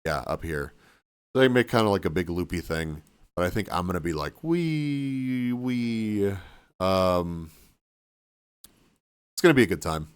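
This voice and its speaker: A man chatting casually with his stream viewers, rambling and restarting sentences, sniffly and a bit hoarse. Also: low voice, animated, unhurried, long pauses, dry recording. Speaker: livestreamer. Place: cabin room.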